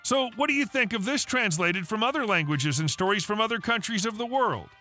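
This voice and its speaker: A man narrating online stories in a steady, even read-aloud delivery. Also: sits high, brisk, faint music behind.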